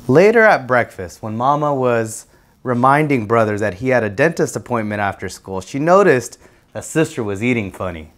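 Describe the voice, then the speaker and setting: A man's voice: mid-range voice, animated, normal speed, fairly bright tone. A man narrating a children's storybook aloud as a guest reader.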